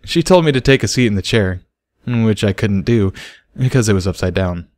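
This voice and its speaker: Man acting adult unicorn stallion, wry, mischievous, dry, unhurried, storybook character. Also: fairly low pitch, a little mellow, no room sound, natural voice. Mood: amused reminiscing.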